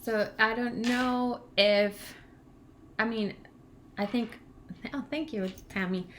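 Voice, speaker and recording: Venezuelan woman in her 30s ranting on a livestream, spanish accent, kinda high voice. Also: takes it slowly, slight background noise. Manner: chatty, rambling, laughs often, grows animated when ranting. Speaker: language channel co-host. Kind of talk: casual livestream chat.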